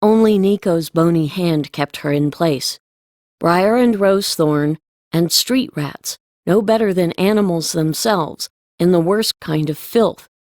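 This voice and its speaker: A woman's voice: lowish voice, measured pace, a little mellow, no room sound, clean studio sound. A woman reading scripted storybook narration as an audiobook narrator.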